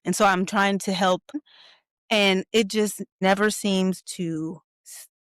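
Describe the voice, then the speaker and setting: A woman's voice: medium pitch, steady tone, takes it slowly, clear, resonant tone, dry recording, clean studio sound. A woman hosting a podcast, speaking frankly and reflectively about herself. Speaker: podcast host. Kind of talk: candid conversational talk.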